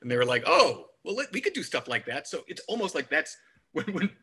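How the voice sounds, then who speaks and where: A man's voice: fairly high pitch, sing-song, brisk, pushed and bright, slightly rough. A man chatting casually about music as a podcast panelist, in an opinionated, enthusiastic manner. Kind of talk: casual album discussion.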